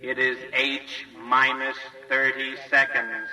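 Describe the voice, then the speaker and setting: A loudspeaker announcer in a vintage radio play, reading the countdown in a slow, even monotone. The voice is heard from far off, with a long echo trailing it. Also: male voice, medium pitch. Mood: flat. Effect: public address speaker. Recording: distant echoing loudspeaker.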